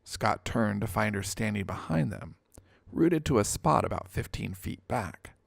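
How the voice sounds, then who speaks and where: A man reads scripted fiction as a narrator. His voice sits fairly low with heavy fry in it, and the recording is close and dry. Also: lively intonation, measured pace, no room sound. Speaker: audiobook narrator.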